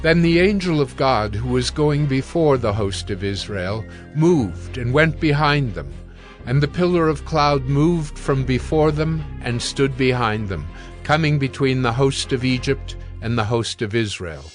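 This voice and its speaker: Solemn male scripture narrator over soft music. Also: medium pitch, animated. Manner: solemn, measured.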